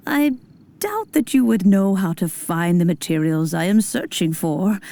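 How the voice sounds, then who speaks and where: Elderly female immortal, fantasy game: very unhurried, soft and dark-toned, genteel, nostalgic, kindly but commanding. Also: fairly low pitch, creaky. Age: older. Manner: unhurried, old-fashioned formal speech. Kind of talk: scripted video game acting.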